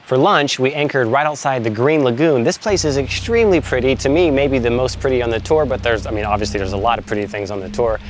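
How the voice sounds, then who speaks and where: A man's voice: medium pitch, quick pace, few pauses, slight background noise. A man narrating a travel vlog in an upbeat, joking, chatty way, outdoors on an island tour. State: congested from a head cold. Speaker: travel vlogger.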